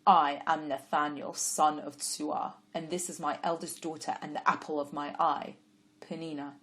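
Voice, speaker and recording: A woman voicing a dignified older father who speaks with measured, kindly authority. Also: deep voice, level tone, fairly bright tone. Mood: gentle.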